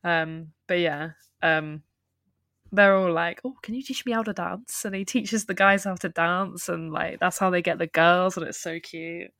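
Woman podcast co-host, lower voice, dry close mic, lively chatty recaps. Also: a little slow, no echo. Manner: animated retelling with laughs. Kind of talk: casual film discussion chat.

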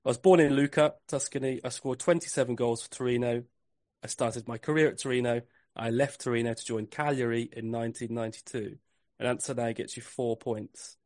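Male podcast host running a quiz, measured clue reading, dry close sound. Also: mid-range voice, fairly even intonation, darkish tone, no echo. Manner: reads clues slowly and repeats them.

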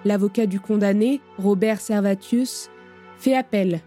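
Warm-voiced woman narrator. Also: medium pitch, measured pace, soft background music. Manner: clear, even, factual delivery. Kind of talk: scripted documentary narration.

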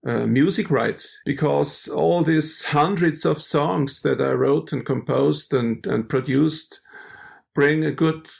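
A middle-aged man from Austria, a musician with German as his first language, chatting as an interview guest, halting and restarting often. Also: a little high, vocal fry, slightly rough. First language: Styrian Austrian German. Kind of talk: casual interview.

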